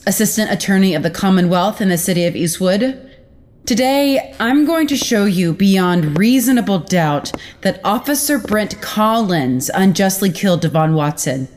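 A woman playing a determined prosecuting attorney in an audio drama, speaking formally and with deliberate emphasis. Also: medium pitch, darkish tone, lightly acted. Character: determined female prosecuting attorney addressing a jury. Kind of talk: audio drama acting, formal courtroom speech. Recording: clear background noise.

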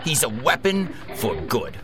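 A man's voice: mid-range voice, very animated, unhurried, over a noisy crowd. A man playing a pushy, defensive showbiz agent in a comedic audio drama, piling up emphatic repeated phrases. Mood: boastful, pushy.

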